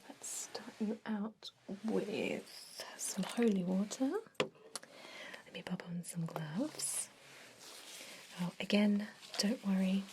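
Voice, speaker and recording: A woman whispering gently and breathily in an ASMR roleplay as a kindly vampire doctor, explaining things to a patient without hurry. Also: mid-range voice, background noise.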